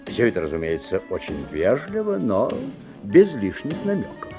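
An actor playing a wary, observant father who narrates a strange tale expressively, with theatrical pauses. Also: fairly low pitch, huge pitch swings. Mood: wondering, uneasy. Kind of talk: dramatic literary narration. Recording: 1970s audio play, music behind.

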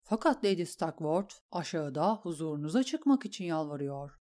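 A woman's voice: low voice, expressive, normal speed, dark tone, voice pushed down. A woman voicing a nervous male palace guard who speaks apologetically and hesitantly. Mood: apologetic.

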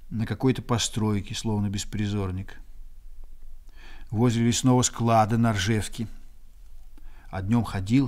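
A man narrates a scripted radio drama. His voice is fairly low and mellow, and he tells the story in a measured literary manner.

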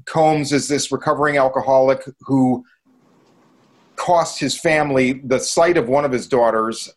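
A man's voice: mid-range voice, fairly even intonation, normal speed. A man reviewing movies casually as a podcast co-host, enthusiastic and swearing freely.

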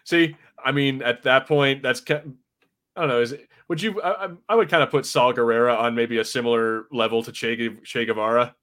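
A man with a mid-pitched voice co-hosts a podcast in casual conversation. His delivery is informal and jokey, with stop-and-start phrasing. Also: normal speed, a bit bright. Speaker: podcast host reviewing TV. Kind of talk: casual chat.

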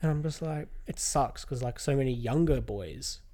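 A man's voice: mid-range voice, moderate pace. Chatty young man, mocking impressions. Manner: animated storyteller, mimics others mockingly, many "like"s. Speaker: podcast co-host. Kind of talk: casual chat.

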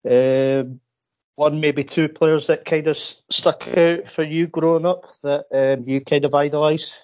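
A man's voice: a little high, steady tone, measured pace, a little mellow. Stammering man asking interview questions. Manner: rambling, stammering, self-deprecating. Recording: dull, lo-fi sound.

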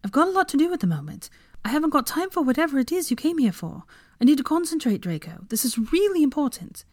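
A woman's voice: high voice, sing-song, quick pace, darkish tone, no echo, studio quality, voice pushed up. A woman voicing a frantic, distracted young woman teacher, breathless and defensive, in an audiobook-style story reading.

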